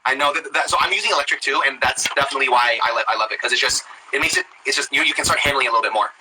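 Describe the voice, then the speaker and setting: A man's voice: mid-range voice, very fast, noisy background. An American man giving casual, encouraging cooking instruction as a chef, often repeating himself, over a live video call.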